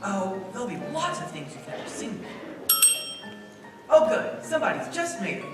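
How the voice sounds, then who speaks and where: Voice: mid-range voice, big pitch swings, normal speed, distant mic, over loud music, lightly acted. A performer playing a very old, guileless angel in a stage radio play, explaining things earnestly and matter-of-factly. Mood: innocent, cheerful. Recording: echoey stage from afar, loud noise.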